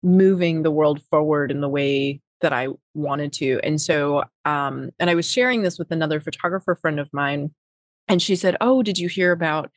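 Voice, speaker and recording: A woman's voice: sits low, moderate pace, dry recording, clean studio sound. A middle-aged woman from Wisconsin with a Wisconsin accent, talking casually as an interview guest, with lots of fillers and restarts. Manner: rambling, many fillers and restarts. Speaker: podcast guest, nonprofit executive.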